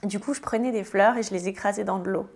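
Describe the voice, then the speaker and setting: A woman's voice: mid-range voice, steady tone, fast, darkish tone. Hesitant French woman in an interview. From France. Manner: hesitant, many fillers and restarts. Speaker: guest, tiny house builder. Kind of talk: casual interview answers.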